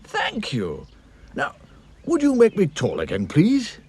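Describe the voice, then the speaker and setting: A man voicing a mild, hapless, very tall cartoon gentleman, polite and plaintive. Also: high voice, wildly swooping pitch, slow, slightly rough, voice pushed up, exaggerated voice. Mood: pleading.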